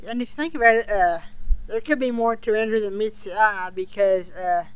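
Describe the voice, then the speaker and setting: A podcast co-host chatting casually as a fan, speaking in a halting way with hesitations that trail off. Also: medium pitch, clean tone, no room sound, low-quality recording.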